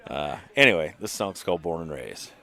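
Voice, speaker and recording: A man's voice: deep voice, animated, brisk, over loud music. A man who is a singer-songwriter, a guest on a podcast, talking in an easygoing, rambling way. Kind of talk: casual chat.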